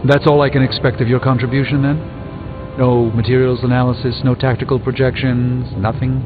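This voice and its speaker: A man's voice: medium pitch, little pitch movement, fairly quick, narrow, tinny audio, natural voice. A man playing a haughty middle-aged sorcerer superhero in a video game, speaking formally with dry sarcasm. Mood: irritated, sardonic. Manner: formal, pompous, dryly sardonic. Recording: thin band-limited audio, loud music behind.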